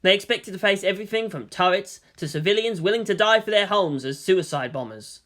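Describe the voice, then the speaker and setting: An animated audiobook narrator with a bright, forceful tone and a middling pitch. The reading is scripted and dramatic, rising and falling widely as the story is told. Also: fairly quick.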